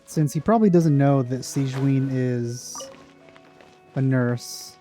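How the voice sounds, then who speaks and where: A man's voice: medium pitch, takes it slowly, dark tone, soft background music. A man giving casual let's play commentary as a gaming YouTuber.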